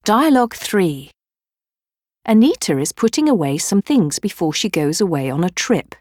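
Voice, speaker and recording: A woman narrating a language course in a measured, instructional tone. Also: on the low side, big pitch swings, a little mellow, no room sound, studio quality. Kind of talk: scripted narration. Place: dry studio.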